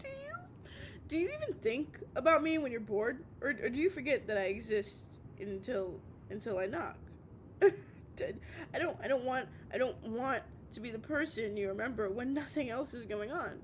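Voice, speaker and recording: A woman playing a drunk, heartbroken, obsessive young lover in an intimate audio roleplay, tearful and halting, stammering and repeating herself. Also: sits high, lively intonation, a little hiss or hum. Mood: hurt, tearful.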